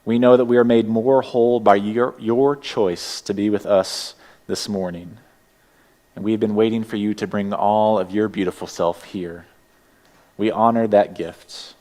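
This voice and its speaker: A man with a Texas accent opens a congregation's service from the sanctuary. His voice sits a touch low, and his words come gently and unhurried as he welcomes everyone. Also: steady tone. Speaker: worship leader. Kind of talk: welcoming church announcements and opening words. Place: church sanctuary.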